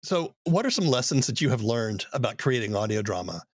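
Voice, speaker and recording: A man's voice: mid-range voice, very animated, brisk, breathy edge, dry recording. A man hosting an interview podcast, moving between questions and scripted narration.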